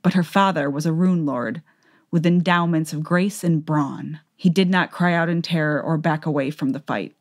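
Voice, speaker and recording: A woman's voice: low-pitched, steady tone, normal speed. A woman narrator reading a fantasy tale in a scripted, dramatic audiobook style.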